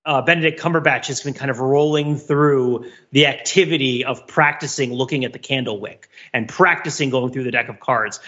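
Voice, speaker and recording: A man's voice: on the high side, a bit bright, dull audio. A man co-hosting a podcast, talking casually about film in an analytical way, carefully drawing fine distinctions. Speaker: podcast co-host.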